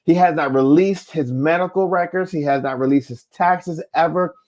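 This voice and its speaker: A man's voice: fairly high pitch, normal speed, darkish tone. A man co-hosting a casual talk stream, speaking bluntly with cutting remarks. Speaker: co-host. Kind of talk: casual opinionated chat.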